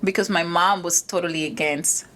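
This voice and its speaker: A woman's voice: on the low side, fairly even intonation, moderate pace, fairly bright tone, a little hiss or hum. A woman from Venezuela, a guest in an interview, telling stories about her upbringing with frequent restarts. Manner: storytelling, frequent restarts and "you know". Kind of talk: conversational interview.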